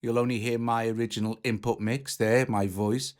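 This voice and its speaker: A man's voice: medium pitch, steady tone, moderate pace. A man presenting a music app tutorial in a casual, informal, hands-on explaining way.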